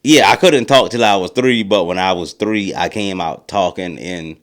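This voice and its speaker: A young man co-hosting a podcast, telling stories in a relaxed, rambling way. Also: low-pitched, moderate pace. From rural American South. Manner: laid-back, rambling storytelling with a mild stutter. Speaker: podcast co-host. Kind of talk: casual podcast chat.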